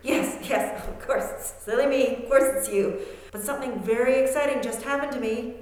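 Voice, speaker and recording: A woman playing an excitable, flustered wife in her late fifties in an amateur radio play. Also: a little high, moderate pace, lightly acted. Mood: flustered, excited. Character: excitable, flustered 58-year-old wife on the telephone. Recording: very echoey hall.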